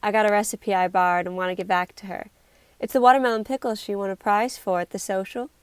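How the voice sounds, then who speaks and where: Sociable American townswoman, acted by a woman: smooth, dry-sounding, gossipy, amateur stage delivery. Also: mid-range voice, steady tone, fast talker, no room sound, lightly acted. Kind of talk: amateur stage-play acting.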